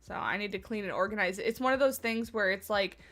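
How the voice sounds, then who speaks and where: A woman's voice: mid-range voice, fast, a bit bright. A woman livestreaming a game from her home office, chatting casually and rambling a little, slightly stuffed up from a cold. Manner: chatty, rambling, self-deprecating. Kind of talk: casual chat with live chat.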